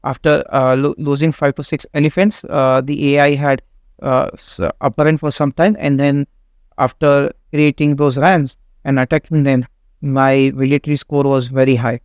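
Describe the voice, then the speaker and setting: Non-native english guy walking thru a strategy game, flat even delivery, lots of uhs, clean dry close sound. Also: medium pitch, smooth, no room sound. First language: not English. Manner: halting, many fillers and restarts. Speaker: gaming channel host. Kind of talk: casual game walkthrough commentary.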